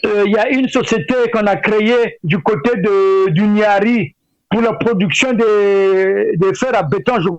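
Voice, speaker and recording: A middle-aged man with a Congolese French accent, speaking as an interview guest in a halting way with repeated words. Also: high voice, no room sound. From Brazzaville, Republic of the Congo. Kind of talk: spoken interview, opinionated discussion. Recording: dull lo-fi radio sound.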